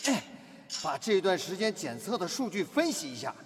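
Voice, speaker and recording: An actor playing a determined, good-natured factory master technician in a radio drama, earnest and full of sighing interjections. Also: male voice, high voice, sing-song, moderate pace, background noise.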